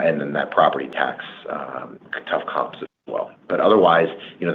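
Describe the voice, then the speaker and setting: A man speaking as a company executive, answering analysts on an earnings call with measured, hesitant corporate phrasing on conference audio. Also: extremely low pitch. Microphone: conference call line. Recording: dull conference audio, faint noise.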